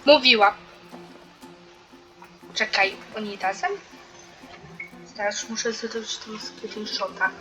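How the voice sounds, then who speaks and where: A woman's voice: medium pitch, steady tone, measured pace, pauses often, pushed and bright, soft background music, rough recording. A woman from Poland giving casual commentary as she plays a game for her video. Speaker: gaming video host.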